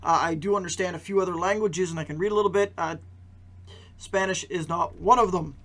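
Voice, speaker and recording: High-pitched man hosting a podcast. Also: fairly even intonation, brisk, fairly bright tone. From Canada. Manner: rhetorical questions and emphatic repetition. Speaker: podcast host. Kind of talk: conversational news commentary.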